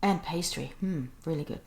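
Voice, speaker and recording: A woman's voice: lowish voice, normal speed, crowd noise. A woman hosting a podcast who asks her guest questions in a friendly, conversational way. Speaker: podcast host. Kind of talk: casual podcast interview.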